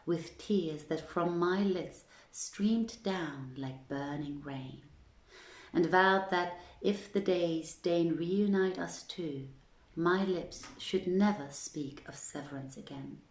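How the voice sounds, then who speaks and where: A woman reading as an ardent young princess in a storybook tale, with formal, poetic, drawn-out phrasing. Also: on the low side. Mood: tearful joy. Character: ardent young princess in love, reciting verse. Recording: roomy echo with music underneath.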